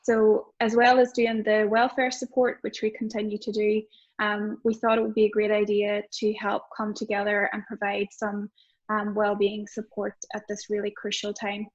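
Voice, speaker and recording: A woman's voice: mid-range voice, completely flat delivery, normal speed. Woman on dull, lo-fi call audio. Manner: friendly, hesitant with fillers. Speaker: support agency organizer. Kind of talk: webinar welcome. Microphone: video call.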